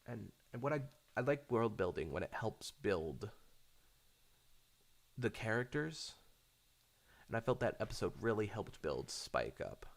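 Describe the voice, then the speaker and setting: A man giving casual, unscripted fan commentary, halting often and repeating words while thinking aloud. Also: on the low side, gravelly. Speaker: host of a cartoon fan channel.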